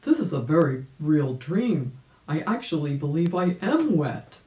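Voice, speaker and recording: A woman voicing a kindly old gentleman rabbit from a children's storybook, exclamatory and easily flustered. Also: deep voice, big pitch swings, unhurried, a little hiss or hum. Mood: startled. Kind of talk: children's storybook read aloud. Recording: echoey room.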